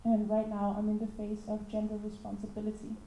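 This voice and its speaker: A woman's voice: medium pitch, completely flat delivery, fairly quick, dark tone, slight background noise. A woman born in Ecuador and raised in Denmark, speaking reflectively at a conference. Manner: reflective, with fillers. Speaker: conference participant. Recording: roomy echo.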